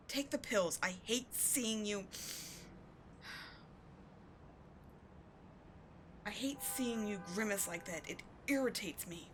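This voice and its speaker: Bitter, high-voiced villainess played by a woman. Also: a bit bright. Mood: exasperated, pleading. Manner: sardonic, exasperated, emotionally intense. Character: bitter, wounded female villain secretly caring for rival sidekick. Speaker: voice actor in audio roleplay. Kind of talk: dramatic ASMR audio roleplay. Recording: clearly audible background noise.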